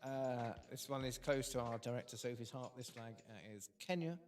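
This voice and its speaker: A man's voice: mid-range voice, normal speed, soft background music. Dry-witted man hosting a quiz. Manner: dry, wry, hesitant with ums. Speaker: quiz host. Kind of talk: casual live quiz banter.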